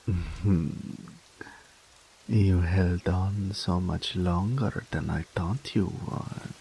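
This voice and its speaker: A man voicing a dominant, possessive male incubus demon in an audio roleplay, talking in a slow, purring way that often drops to a whisper. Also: low voice, vocal fry, mellow, slight background noise, heavily acted, low-quality recording. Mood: teasing.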